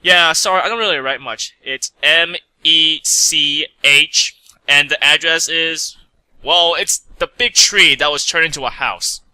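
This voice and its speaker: Man as an awkward, polite young mechanic, bright voice. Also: a little high, measured pace, clean tone, no echo, low-quality recording. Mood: polite, unsure. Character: upbeat, slightly awkward male stranded mechanic.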